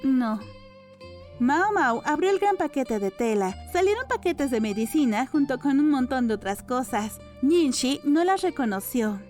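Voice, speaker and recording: A woman's voice: sits high, big pitch swings, moderate pace, few pauses, darkish tone. A woman reading a novel aloud as scripted audiobook narration. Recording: music under the voice. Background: background music.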